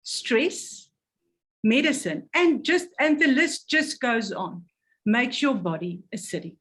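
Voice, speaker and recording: A woman's voice: a little high, sing-song, slow pace, fairly bright tone. A woman presenting a health product, reading carefully and stumbling over technical words.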